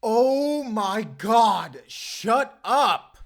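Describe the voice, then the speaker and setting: A man's voice: high-pitched, very animated, drawn-out words, full character voice. A man playing a sullen, hot-tempered vampire slayer with a grudging, sardonic manner in a cinematic audio roleplay. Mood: flustered anger. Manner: grudging, sardonic, easily flustered.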